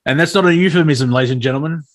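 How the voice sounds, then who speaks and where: A man's voice: fairly high pitch, rapid-fire. A man co-hosting a talk show with casual, wisecracking banter. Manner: joking, wisecracking.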